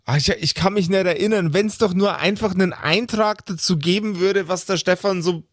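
A man's voice: high voice, moderate pace, no echo, clean studio sound. A man improvising casually as a player in a tabletop role-playing show. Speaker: player in a pen-and-paper show.

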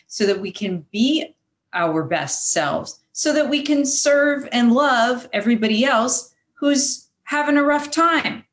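A woman's voice: sits high, expressive, slow. A woman in a casual, reflective podcast discussion, speaking in an earnest, encouraging way. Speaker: podcast panelist.

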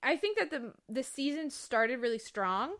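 A woman hosting a relaxed, conversational review of television, asking questions and sharing her own opinions. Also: sits high, normal speed. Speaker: podcast host. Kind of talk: casual TV review chat.

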